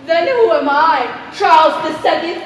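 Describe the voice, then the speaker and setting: A young woman on stage playing a flustered, contrary committee member, excitable, stammering and repeating herself. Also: very high voice, moderate pace. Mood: indignant. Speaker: stage actor. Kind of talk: live stage play acting. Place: theatre stage, recorded from far off. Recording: echoey theatre, distant mic, noisy.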